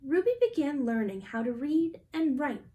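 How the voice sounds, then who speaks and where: High-pitched young woman reading aloud. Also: expressive, moderate pace, mellow. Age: young adult. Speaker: storybook reader. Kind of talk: reading a picture book aloud. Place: small indoor room at home.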